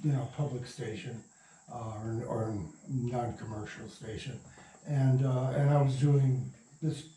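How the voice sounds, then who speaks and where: A man's voice: medium pitch, steady tone, unhurried, warm tone. An older man telling anecdotes casually as an interview guest, rambling and circling back mid-sentence. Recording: echoey room, distant mic.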